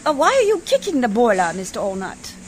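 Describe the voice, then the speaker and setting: A woman's voice: fairly high pitch, wildly swooping pitch, a little fast, a little hiss or hum. A woman acting in a radio play as a prim, determined missionary lady, speaking in a formal, proper and resolute manner. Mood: puzzled, inquiring.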